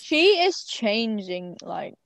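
A woman's voice: a little high, big pitch swings, no echo. A teenage girl in casual, teasing chat with a friend, giggling often. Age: teens. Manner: giggly, teasing, quick banter. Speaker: co-host.

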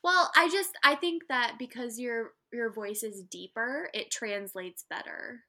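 A young woman from the Midwest with a higher voice, co-hosting a podcast and musing at length in casual chat. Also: bright, forceful tone. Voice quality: higher-pitched voice. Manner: rambling, thoughtful, quick to laugh. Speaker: podcast co-host.

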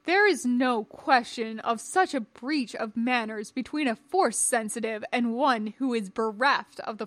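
An imperious, scornful Jedi master voiced by a woman for a storybook reading. He speaks slowly and formally, pronouncing each judgment as a stern lecture. The sound is close and dry. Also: on the high side, dry recording, natural voice. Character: tall imperious old Jedi master, scornful, pompous man.

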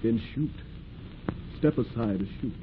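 A hissy, thin-sounding old radio recording. A man acts a defiant runaway in his twenties, mid-pitched and dignified, unbending in a stage-drama delivery. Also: a little slow, phone-quality sound, lightly acted. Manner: steady, dignified, resolute. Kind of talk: 1940s radio acting.

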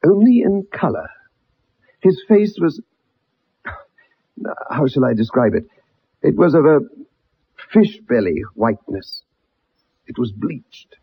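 Earnest, husky gentleman. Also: mid-range voice, sing-song, takes it slowly, long pauses, heavily acted. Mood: troubled recollection. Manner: earnest, formal. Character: earnest, determined gentleman, loyal former comrade. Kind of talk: 1960s radio drama acting. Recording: muffled old radio recording.

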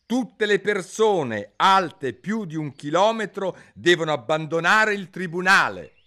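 A man voicing a pompous old king presiding over a trial, in a loud, blustering, declamatory manner. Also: high-pitched, lively intonation, unhurried, pitched above the performer's own voice, heavily acted.

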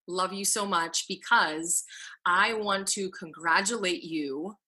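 A woman's voice: fairly low pitch, takes it slowly, bright, forceful tone, breathy edge. A woman co-hosting a podcast, chatting casually and encouraging her co-host. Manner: encouraging, lots of "mm-hmm" backchannel. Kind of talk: casual chat.

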